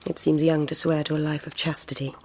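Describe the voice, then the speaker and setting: Low-voiced, wry noblewoman. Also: level tone, breathy edge. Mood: dry, protective. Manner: wry, brisk, gently bossy. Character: dry, worldly, protective married noblewoman, a carer. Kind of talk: radio drama acting.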